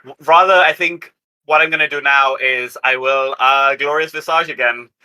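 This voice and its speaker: A man playing a tabletop roleplaying game, talking through his moves casually but with deliberate, somewhat formal phrasing. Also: medium pitch, fairly even intonation, pushed and bright, clean tone, low-quality recording.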